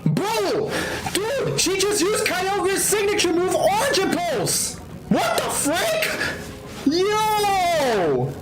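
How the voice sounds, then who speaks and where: A young man giving casual, slangy, excitable live commentary as he streams a video game. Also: very high voice, very slow, rough recording. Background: game music.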